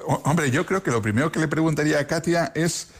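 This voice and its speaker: A man's voice: a little high, fast talker. A man hosting a travel podcast, chatting casually in a rambling way with lots of fillers. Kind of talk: casual chat.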